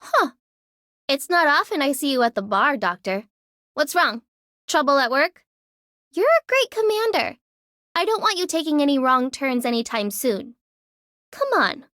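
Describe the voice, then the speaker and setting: A woman's voice: high voice, big pitch swings, measured pace, long pauses, pushed and bright, dry recording, clean studio sound, exaggerated voice. A woman voicing a breezy, teasing young female operator in a video game, casual and playful. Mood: casual concern. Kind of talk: English video game character voice lines.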